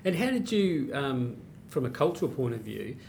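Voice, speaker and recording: A man's voice: medium pitch, sing-song, moderate pace, vocal fry, darkish tone, a little hiss or hum. A man interviewing a guest in casual chat, giving brief encouraging back-channels between his questions.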